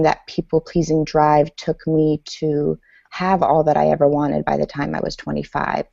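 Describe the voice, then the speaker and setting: Low gravelly woman, dull lo-fi sound. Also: mellow, no echo. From Iran. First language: Farsi. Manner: reflective, measured, many ums. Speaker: guest author and speaker. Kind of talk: podcast interview, personal story.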